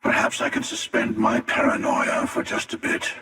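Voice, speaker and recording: An actor playing a menacing, world-weary interdimensional hunter in a video game, slow and taunting, with a gravelly rasp and an otherworldly echo. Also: male voice, crowd noise. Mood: musing. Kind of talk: video game character dialogue. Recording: reverberant, distant echoing voice.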